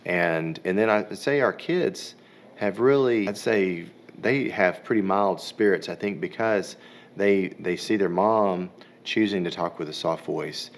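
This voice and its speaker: A man's voice: deep voice, a little slow. A man giving a reflective interview answer, hesitant and repeating his words.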